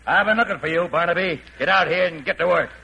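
A man playing a gruff, threatening railroad yard boss in a 1940s radio drama. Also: sits high, fast talker, bright, forceful tone, slight background noise, heavily acted, rough recording.

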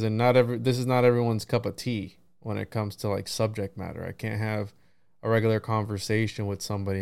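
A man's voice: lowish voice, a little mellow, clean tone, dry recording, studio quality. A man hosting a podcast, chatting informally in a rambling, self-deprecating way. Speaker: podcast host interviewer. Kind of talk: casual conversational interview.

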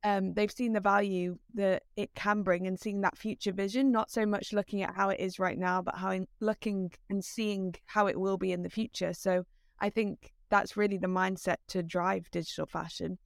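A woman interviewer asks her questions and responds with chatty affirmations. Her voice sits at a middle pitch. The recording is dry and close, with no echo. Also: fairly even intonation, moderate pace, vocal fry. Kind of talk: casual interview.

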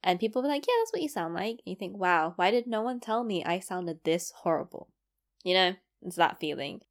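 A woman's voice: mid-range voice, animated, fairly quick. A young woman from East London with a London accent, talking casually to the camera, self-deprecating and rambling with asides. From East London, England. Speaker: vlogger.

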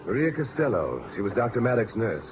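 An actor playing a stern, curt, ominous clinic doctor in a 1950s radio drama. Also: male voice, medium pitch, sing-song, brisk, close to an everyday voice. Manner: curt, commanding. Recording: tinny, noisy old radio broadcast.

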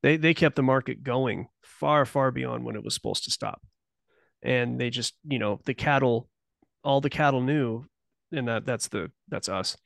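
Male podcast guest, gravelly, dry, meandering and speculative. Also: medium pitch, moderate pace, fairly bright tone, no echo. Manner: rambling, self-interrupting, speculative. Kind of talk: casual conversational interview.

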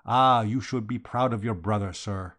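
A man's voice: fairly low pitch, normal speed, fairly bright tone. A man voicing a formal, flowery foreign count, solemn and emphatic, devoted to his master, in a storybook reading. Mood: grandly fervent.

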